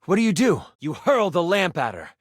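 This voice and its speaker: A man playing an eager game-master character, narrating a tabletop fantasy adventure with dramatic, expressive delivery. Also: high-pitched, brisk, no room sound, clean studio sound, full character voice. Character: animated game-master character, eager storyteller, a living die.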